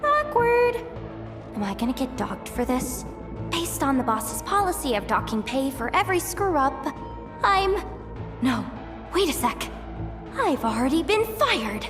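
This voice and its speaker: A young female tinkerer with a high, very animated voice. She is anxious, chatty and self-deprecating, muttering expressively in an anime game with soft music behind her. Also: measured pace. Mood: panicky, awkward. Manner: nervous, expressive muttering to herself.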